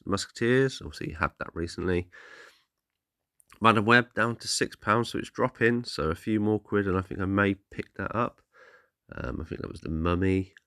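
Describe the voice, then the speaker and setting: Guy with a really low, slightly croaky voice, rambling casual vlog voiceover, dry close sound. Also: fairly bright tone, dry recording. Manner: apologetic, meandering. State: slightly hoarse voice. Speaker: vlogger, film collector. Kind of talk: casual rambling voice-over commentary.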